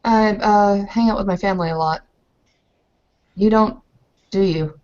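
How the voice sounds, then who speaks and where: A woman's voice: medium pitch, measured pace, a little mellow, lightly acted. Woman playing a hesitant bride, muffled recording. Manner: hesitant, tentative. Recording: dull muffled lo-fi audio.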